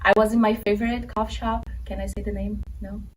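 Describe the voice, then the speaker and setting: A woman's voice: mid-range voice, flat delivery, fast. Woman, distant noisy mic. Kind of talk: casual chat. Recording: distant mic with clear background hiss.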